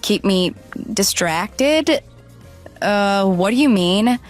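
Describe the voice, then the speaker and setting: A woman's voice: mid-range voice, lively intonation, very slow, soft background music. Flustered, defensive runaway bride, acted by a woman. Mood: bewildered. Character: deceitful runaway bride, pleading, defensive and indignant. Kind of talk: dramatized phone dialogue.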